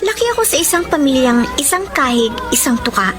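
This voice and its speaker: High-voiced, girlish young heroine. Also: expressive, fast talker, music in the background. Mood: earnest, sorrowful. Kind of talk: radio drama acting and letter narration.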